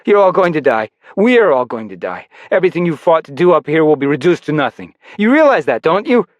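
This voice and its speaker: A man reading an audiobook voices a resentful, downtrodden man who has turned vengeful and shouts bitterly. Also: mid-range voice, sing-song, normal speed, dry recording, lo-fi sound. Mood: grim. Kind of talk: audiobook character voice.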